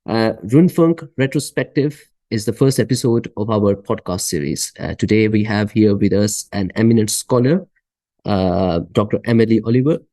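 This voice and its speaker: A man hosting a podcast asks polite, halting questions full of fillers. His voice is mellow and dark, and the recording is dry and close. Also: on the low side, lively intonation, no echo. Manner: hesitant, many fillers, polite. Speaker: podcast host. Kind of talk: interview questions.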